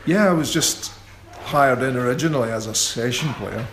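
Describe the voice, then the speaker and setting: A middle-aged man guesting in a radio interview, talking in an unhurried, self-deprecating and wry way. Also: mid-range voice, heavy background noise. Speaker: guitarist guest. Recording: roomy echo.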